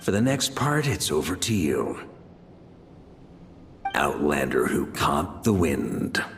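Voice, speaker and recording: An actor playing a rugged, confident veteran knight in a video game, with a wry, plain-spoken manner. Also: male voice, rumbling low, very animated, slow pace, exaggerated voice. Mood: wry, resigned. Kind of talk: dramatic video game acting. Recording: loud music behind the voice.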